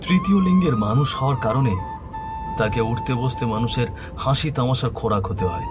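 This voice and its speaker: A man's voice: medium pitch, a little fast, loud music behind the voice. A man narrating a scripted horror story in a solemn, earnest manner.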